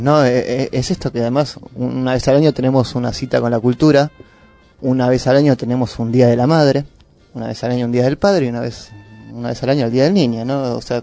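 A male co-host with a mellow, mid-pitched voice, speaking thoughtfully with some hesitation. Light music plays beneath him. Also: gravelly. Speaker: radio co-host. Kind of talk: casual radio chat.

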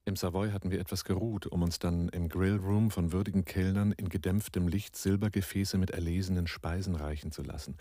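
An actor playing an American investigator who narrates and leads in a low-key, matter-of-fact way in a German radio drama. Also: male voice, steady tone, fairly quick, few pauses, warm tone. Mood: calm narrating. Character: American man narrating, leading a small band of investigators. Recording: noisy 1980s radio drama recording.

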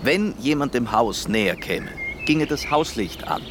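An actor plays a sceptical husband in a radio play, patient but exasperated. He reasons insistently in an Austrian accent and a medium-pitched voice, over faint background noise. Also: animated, a little slow, lightly acted. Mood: pleading, logical. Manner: placating, insistently logical. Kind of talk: radio drama acting.

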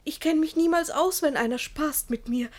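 A woman's voice: high voice, normal speed, lightly acted. An actress playing a naive, innocent, lovestruck young ward in a verse comedy radio play, speaking in an artless, girlish, theatrical way. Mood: hopeful.

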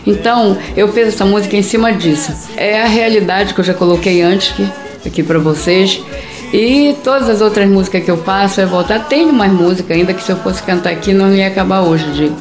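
A middle-aged woman with a northern Brazilian accent from Pará talks as a radio guest in a plain, unscripted way, circling back over her phrases. Her pitch is average for a woman. The sound is quite roomy, with music clearly behind her. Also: fairly quick. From Brazil, quilombola community near Santarém in Pará. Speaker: singer-songwriter, interview guest. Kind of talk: spontaneous personal interview talk. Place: audio studio, for a radio programme.

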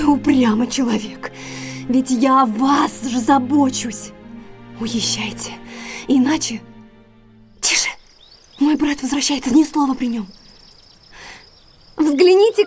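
An elegant, anxious lady with something to hide in an audio drama. Her voice is high, refined and guarded. Faint music and chirping insects play behind her. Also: a little slow, pauses often. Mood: urgent, pleading. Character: Beryl, elegant, anxious, secretive naturalist's sister.

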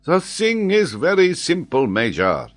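A man voicing an excitable, triumphant French geographer who argues emphatically and draws out his key words. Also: on the high side, a little slow, voice pushed up, exaggerated voice. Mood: excited, insistent.

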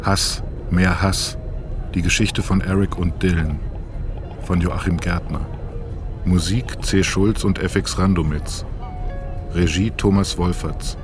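A man's voice: deep voice, fairly even intonation, takes it slowly, long pauses. A man announcing the title and credits of a radio play. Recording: clear music behind the announcement.

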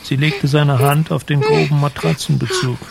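A man narrating a literary radio drama in a measured, descriptive storytelling manner. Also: on the high side, steady tone, gravelly, very noisy.

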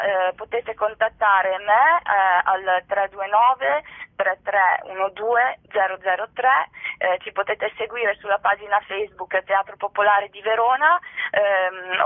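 A woman's voice: medium pitch, fairly even intonation, unhurried, dry recording, phone-quality sound. A woman explaining things as the guest in an informal radio interview. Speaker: interviewee, theatre festival organiser.

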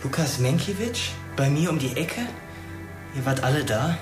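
An actor playing a jittery, shaken teenage schoolboy in a naturalistic radio drama, hesitant and halting. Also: male voice, a little high, expressive, echoey room, music in the background, natural voice. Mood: subdued.

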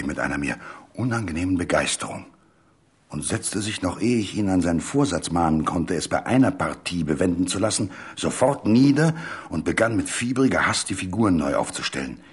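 A man reading a literary story as a scripted dramatic narration, measured and full of suspense. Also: sits low, lively intonation, husky.

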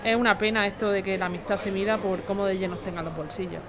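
Actress as indignant, snobbish jobseeker in a busy bar. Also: female voice, medium pitch, steady tone, fast, close to an everyday voice. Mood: aggrieved. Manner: indignant, complaining. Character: indignant, snobbish 35-year-old overqualified woman seeking work. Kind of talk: audio fiction podcast acting. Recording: busy bar chatter behind.

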